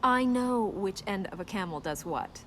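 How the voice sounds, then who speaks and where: A woman's voice: medium pitch, expressive, moderate pace, a bit bright, a little hiss or hum, close to an everyday voice. A woman playing a determined young princess in a video game, serious and guarded with a dry, sarcastic wit. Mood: challenging, wry. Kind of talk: video game voice acting, conversational banter.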